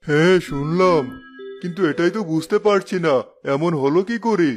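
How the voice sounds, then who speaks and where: A woman voicing a greedy middle-aged village uncle in a lowered, puzzled voice, in a cartoon. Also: normal speed, soft background music, lightly acted.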